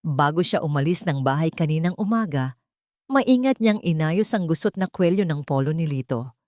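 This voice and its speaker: Female narrator for a romance drama, lower-pitched, scripted dramatic storytelling, dry close recording. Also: lively intonation, normal speed, darkish tone, dry recording.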